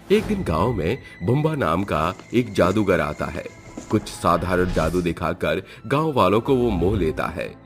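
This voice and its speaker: Deep guy reading a horror story, dramatic narrator style, bit of music behind.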